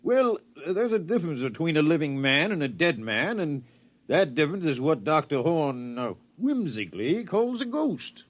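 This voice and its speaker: Fussy, nervous fat fellow, higher-voiced. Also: male voice, sing-song, normal speed. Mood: fussy, distracted. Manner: fussy, hesitant, pompous wordiness. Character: huge, nervous, food-obsessed male civilian scientist. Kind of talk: 1950s radio drama acting.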